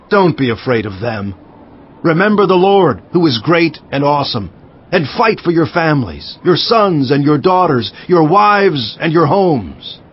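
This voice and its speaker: An actor playing a resolute biblical governor, narrating scripture in a solemn, emphatic, declamatory manner. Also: male voice, medium pitch, muffled recording. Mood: rousing. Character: resolute, indignant biblical governor recounting his own deeds. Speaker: narrator of dramatized audio Bible.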